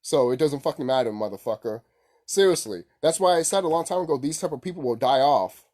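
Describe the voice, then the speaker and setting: Guy doing an off the cuff gaming vlog, voice a bit high, blunt, swears a lot, repeats himself. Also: expressive, fairly quick, darkish tone. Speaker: YouTube gaming commentator. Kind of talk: casual, unscripted opinion rant.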